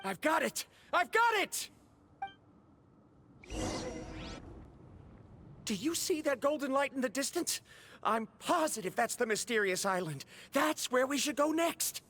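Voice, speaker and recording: A woman playing a proud, excitable scholar in a video game, with formal, theatrical phrasing. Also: sits high, moderate pace, slight background noise. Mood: elated. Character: eager, self-assured scholar of ores, proud and excitable. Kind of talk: English video game voice acting.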